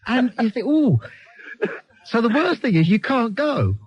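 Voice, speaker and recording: A man's voice: extremely high pitch, very animated, a little slow, husky. An English man hosting a live radio phone-in, bantering and telling anecdotes in a cheeky, teasing, sardonic way. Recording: dull lo-fi radio broadcast recording.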